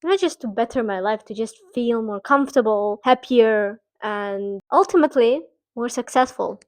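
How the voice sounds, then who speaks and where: Young woman rambling to camera, bit high voice, dry close sound. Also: moderate pace, no room sound. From Bosnia. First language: Bosnian. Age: 20s. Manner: chatty, self-deprecating, restarts and asides. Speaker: vlogger. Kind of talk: casual talking-to-camera vlog.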